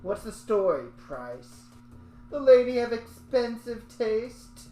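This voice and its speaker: A man's voice: extremely high pitch, very animated, slow, dark tone, echoey room, soft background music, voice pushed up, exaggerated voice. A man voicing a pushy male street thug collecting a debt, brusque and threatening. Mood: menacing.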